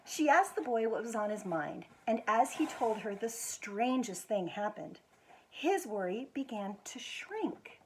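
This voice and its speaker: An American woman with a husky voice reads a children's picture book aloud expressively, stretching words for emphasis. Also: a little high, slight background noise, rough recording. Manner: expressive, with drawn-out emphasis and quiet asides to show pictures. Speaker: executive director of a schools foundation, guest reader.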